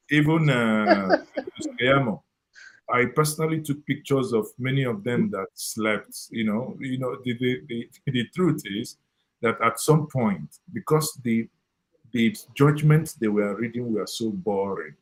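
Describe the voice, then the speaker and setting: A man's voice: mid-range voice, a little slow, rough recording. A man in an online discussion who cuts in firmly to correct another speaker and insists on being heard. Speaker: forum participant.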